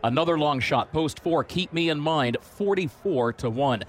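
A man commentating on a horse race broadcast, reading out odds and storylines in a steady informative way. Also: a little high, normal speed, slight background noise. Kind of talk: live sports broadcast commentary.

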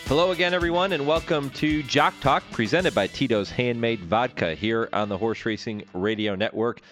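A man's voice: mid-range voice, normal speed, a bit bright, faint music behind. A man hosting a sports interview show on the radio, with a polished announcer's delivery.

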